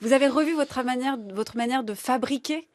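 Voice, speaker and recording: A woman hosting a radio interview, brisk and quick to follow up. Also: high voice, no room sound, studio quality. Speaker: radio host.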